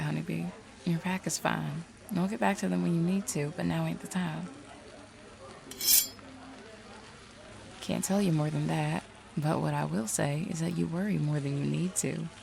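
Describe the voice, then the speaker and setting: Actress as streetwise female detective with an uncanny side, audio drama. Very gravelly, mid-low for a woman, drawling and teasing. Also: moderate pace, dark tone. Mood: reassuring. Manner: drawling, teasing, folksy slang. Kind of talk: audio roleplay acting.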